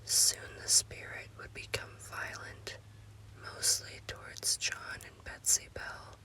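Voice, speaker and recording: Woman doing whispered asmr scary storytime, all breath no voice, really slow, bright hissy whisper. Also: poor audio. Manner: slow, deliberate delivery in short phrases. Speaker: storyteller, host.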